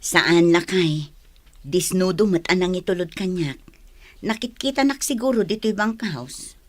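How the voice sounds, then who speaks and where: A woman's voice: fairly low pitch, measured pace. A woman with an Ilocano accent playing a caring, anxious wife and mother in a radio drama. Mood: conversational.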